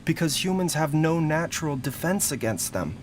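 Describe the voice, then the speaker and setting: A man voicing a calm, courteous, learned young doctor in a video game, speaking in measured, formal explanations. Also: fairly high pitch, a little hiss or hum. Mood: grave. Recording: game audio with music behind.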